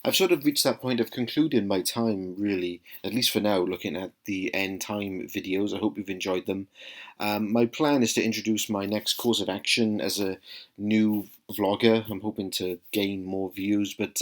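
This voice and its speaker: A Welsh man in his thirties talks straight to camera in a relaxed vlog. His voice sits a little low, and his friendly, earnest delivery keeps pausing on ums and uhs. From South Wales. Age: 30s. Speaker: vlogger. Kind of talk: casual talking-to-camera vlog.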